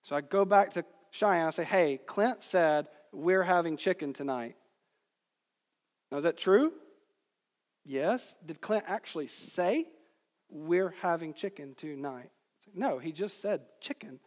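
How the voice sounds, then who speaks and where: A man from Georgia in the United States preaching an expository sermon to a congregation, teaching with deliberate emphasis on key words. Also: on the high side, expressive. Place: large reverberant room, speaking to a congregation. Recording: long reverb of a large hall.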